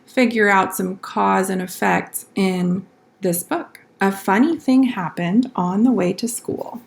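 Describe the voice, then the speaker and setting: Female kindergarten teacher for a children's read-aloud. Slow, instructive delivery, mid-range pitch, stops to explain and ask questions. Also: vocal fry. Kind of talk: picture book read-aloud for young children, with explanatory asides.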